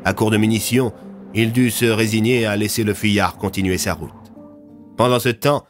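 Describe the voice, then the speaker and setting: Low-voiced male narrator over music. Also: steady tone, normal speed. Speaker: narrator of an audio fiction serial. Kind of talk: scripted dramatic storytelling. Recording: music under the voice.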